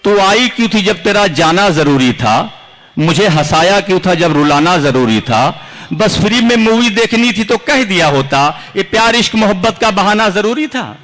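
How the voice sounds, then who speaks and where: High, lively declaiming poet. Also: male voice, normal speed. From India. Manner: declamatory, repeats lines for effect. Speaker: poet at a recital. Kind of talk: reciting humorous and serious verse. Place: large outdoor poetry gathering.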